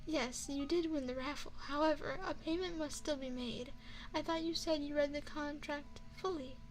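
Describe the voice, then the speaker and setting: A woman's voice: high voice, steady tone, moderate pace, soft background music, natural voice, low-quality recording. A woman voicing an elegant, seductive vampire chef in an ASMR roleplay, speaking formally with refined, teasing poise, close to the microphone. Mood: gracious, poised. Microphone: close binaural microphone.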